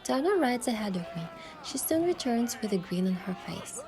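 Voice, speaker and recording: A woman's voice: a little high, sing-song, moderate pace, noisy background. A woman playing a thoughtful, affectionate young heroine, narrating a romance game story in the first person with a reflective manner. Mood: narrating, earnest. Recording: music behind the voice.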